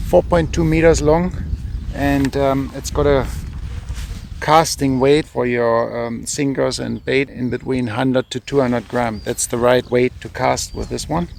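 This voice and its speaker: A man's voice: medium pitch, level tone, unhurried, vocal fry, slight background noise. A man in his thirties from Germany with a German accent, casually reviewing gear to camera as a channel host in an informal, conversational way. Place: outdoors on an open beach by the water.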